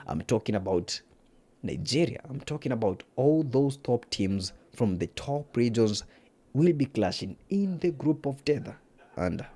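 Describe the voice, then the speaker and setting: A man's voice: mid-range voice, a little mellow. A man with an East African accent and Swahili as his first language, hosting an esports livestream in casual commentary, repeating phrases for emphasis.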